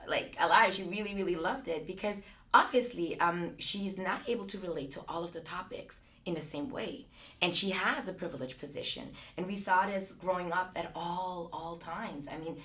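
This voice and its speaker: A woman, a guest in an interview, speaking earnestly and reflectively, often pausing on fillers. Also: lowish voice, a bit bright, distant mic. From Austria. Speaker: guest, business founder. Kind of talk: conversational interview.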